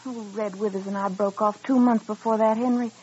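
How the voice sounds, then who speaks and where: A woman's voice: mid-range voice, steady tone, brisk, mellow, husky, close to an everyday voice. A woman playing an earnest, spirited young bride-to-be on the frontier in a radio western. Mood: indignant. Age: young adult. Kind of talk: 1950s radio western acting. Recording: dull old radio recording.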